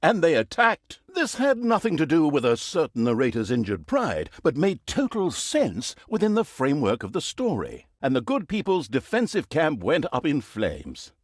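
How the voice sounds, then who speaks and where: A vain, sardonic storyteller for a fantasy video game about evil. His fairly high voice swings dramatically up and down with mock-grand emphasis and wry asides. The recording is very dry and close, with no room sound. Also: wildly swooping pitch, normal speed, few pauses, dry recording. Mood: smug, wry. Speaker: game narrator. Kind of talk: theatrical comic storytelling narration.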